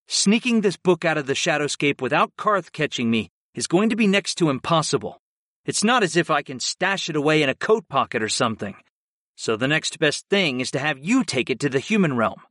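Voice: medium pitch, sing-song, fairly quick, a bit bright, dry recording, clean studio sound. A narrator as a blunt, commanding fantasy hero. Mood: matter-of-fact. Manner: commanding, blunt, matter-of-fact. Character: brusque male fantasy hero, commanding master of demons.

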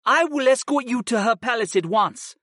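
Slow, formal city guard, as a man puts him on. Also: very high-pitched, expressive, a bit bright, no echo, studio quality, voice pushed up.

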